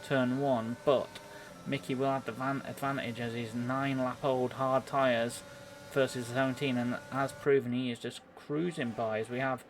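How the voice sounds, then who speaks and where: A man giving live play-by-play commentary on an online racing game, a little halting as he thinks through the action. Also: mid-range voice, level tone, slight background noise.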